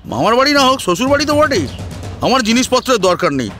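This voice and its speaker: A man's voice: very high-pitched, big pitch swings, fast, a bit bright, faint music behind, voice pushed up, exaggerated voice. A man playing a greedy, shameless son-in-law in an audio drama, pleading and arguing insistently for what he thinks he is owed. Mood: pushy demanding. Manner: insistent, wheedling, argumentative. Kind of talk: Bengali audio story acting.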